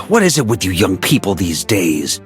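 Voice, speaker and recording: An actor playing a weary middle-aged knight commander in a video game, who sighs in exasperation, teases dryly and gives gruff orders. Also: male voice, low-pitched, wildly swooping pitch, unhurried, exaggerated voice. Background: soft game music.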